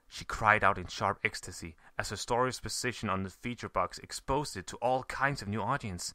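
A man's voice: on the low side, pushed and bright, no room sound. A man reading a scripted story aloud as narrator. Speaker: fan fiction reader, narrator.

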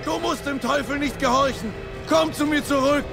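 A man's voice: very high voice, normal speed, creaky. A man playing an intense, solemn crusader warrior and determined lover in a dubbed video game. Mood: pleading resolve. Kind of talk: dramatic video game dubbing. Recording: loud music over voice.

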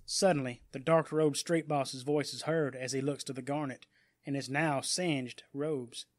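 A man's voice: medium pitch, steady tone, unhurried, dry recording. A man reading scripted story narration in a dramatic audiobook style.

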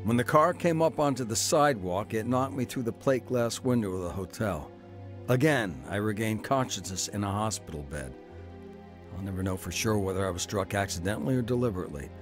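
A man's voice: on the low side, expressive, a little fast, over music. A middle-aged man telling his own story in earnest first-person narration for a radio drama. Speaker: narrator and lead.

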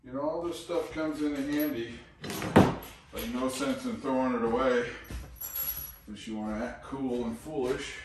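Older DIY presenter, chatty folksy manner, mid-range voice, recorded on a distant camera mic. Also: male voice, medium pitch, fairly even intonation, normal speed, long pauses. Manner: chatty, folksy, keeps checking in with viewers. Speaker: DIY home repair presenter. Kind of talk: casual how-to demonstration. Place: small kitchen indoors. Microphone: camera mic on a tripod, some distance off. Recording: echoey room with clear work noise.

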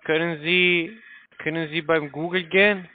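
A man with a foreign accent in non-native German making a polite, halting telephone sales pitch over a phone line. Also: fairly even intonation. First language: not German. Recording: tinny, narrow phone line.

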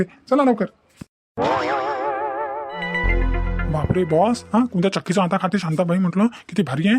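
A man's voice: high-pitched, darkish tone. A man voicing a scheming, bumbling village kidnapper in a comedy cartoon, bossy and repetitive, with a Varhadi Marathi accent. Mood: bossy, excited.

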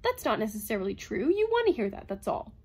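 A woman's voice: fairly high pitch, sing-song, fast, a little mellow, slightly rough. A woman voicing an angry, argumentative male mathematician in an audiobook, curt and combative. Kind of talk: dramatized audiobook reading.

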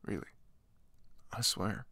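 Guy doing a sweet flustered best friend for asmr, soft and hesitant, really slow talking, very dry close sound. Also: no echo. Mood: earnest, reassuring. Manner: hesitant, stammering, gentle. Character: shy, sweet young male best friend, smitten and flustered. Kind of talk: ASMR roleplay, soft intimate comforting talk. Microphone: close microphone.

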